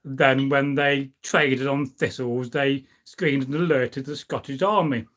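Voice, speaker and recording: A man's voice: mid-range voice, fairly even intonation, takes it slowly, fairly bright tone. A middle-aged man, chatting informally and digressively as he hosts a history live stream and responds to viewers. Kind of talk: casual rambling chat, reading out and answering viewer comments.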